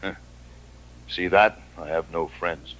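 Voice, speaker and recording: An American actor playing a cynical, world-weary gunfighter sheriff in an old-time radio western, speaking tersely and fatalistically. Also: male voice, deep voice, fairly even intonation, slow, a bit bright, rough recording. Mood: courteous, reserved. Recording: vintage western radio drama recording.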